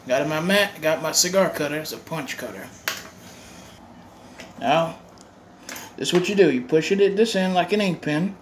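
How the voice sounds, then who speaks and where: A man's voice: a little high, normal speed, pauses often. A man doing a casual home review vlog, rambling and restarting his phrases as he films on a handheld phone. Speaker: cigar vlogger. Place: home room with bare walls. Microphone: phone held while filming. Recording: echoey room, faint room noise.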